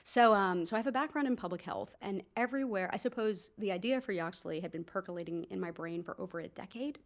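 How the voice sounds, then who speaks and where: A woman in her thirties from New Jersey with an American accent, a doctor and founder chatting as an interview guest, animated and full of asides. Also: mid-range voice, fast. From United States, New Jersey. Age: 30s. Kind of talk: casual interview conversation.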